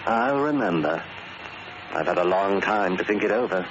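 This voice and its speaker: An actor playing a weary, bitter middle-aged convict, brooding and dramatic in 1940s radio style. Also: male voice, low-pitched, wildly swooping pitch, normal speed, creaky, music in the background, exaggerated voice. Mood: resolute. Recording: noisy, muffled old radio recording.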